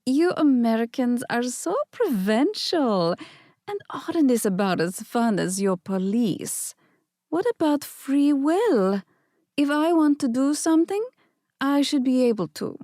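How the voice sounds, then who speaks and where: A woman's voice: high-pitched, big pitch swings, a little slow, warm tone, dry recording, clean studio sound, pitched above the performer's own voice. A woman voicing a mischievous, scornful young woman with a teasing, dismissive manner.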